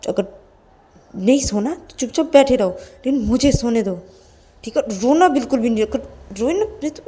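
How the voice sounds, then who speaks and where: A woman's voice: a little high, expressive, moderate pace, breathy edge, voice pushed up. A woman voicing a stern young woman who scolds and threatens with bossy questions in an audio story. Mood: stern, threatening. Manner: bossy, sharp rhetorical questioning.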